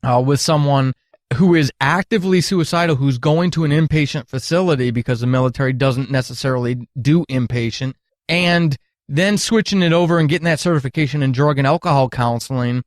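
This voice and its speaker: A man's voice: mid-range voice, brisk, dry recording, studio quality. A middle-aged man telling candid, earnest stories at length as an interview guest, with emphatic phrasing. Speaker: podcast guest, retired military counselor.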